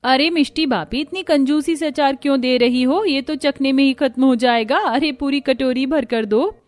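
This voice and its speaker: A woman's voice: sits high, normal speed, natural voice. A woman voicing an idle, greedy sister-in-law in a cartoon, cheeky and demanding. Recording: music bed under the voice.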